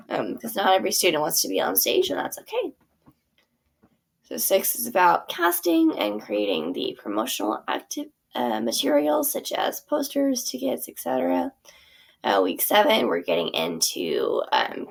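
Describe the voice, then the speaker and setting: A woman's voice: mid-range voice, vocal fry, slightly rough. A woman teacher casually walking through her theater curriculum, chatty and full of fillers. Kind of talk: casual walkthrough of a product.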